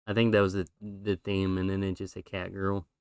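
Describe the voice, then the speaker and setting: A man's voice: low voice, fairly quick, clear, resonant tone, dry recording, clean studio sound. A man streaming, chatting casually and rambling through his drawings while losing his voice. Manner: relaxed, rambling with fillers.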